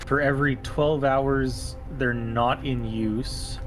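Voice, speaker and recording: A man's voice: medium pitch, fairly even intonation, slow pace, low-quality recording. A man talking casually through tactics and rules as a player in a tabletop roleplaying game. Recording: music playing faintly behind. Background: background music.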